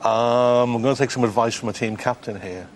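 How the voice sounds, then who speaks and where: A man's voice: mid-range voice, steady tone, a little fast, slight background noise. A man in his thirties, a quiz contestant, reasoning aloud in a rambling, jokey way. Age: 30s. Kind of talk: thinking aloud on a quiz show.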